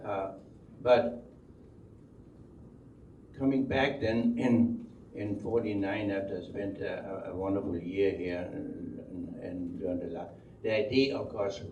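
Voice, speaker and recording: An older man from Denmark with a Danish accent tells his life story unscripted, halting and repeating words as he rambles along. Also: low voice, dark tone. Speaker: speaker telling life story. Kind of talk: unscripted oral history storytelling. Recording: dull, roomy, distant microphone, faint noise.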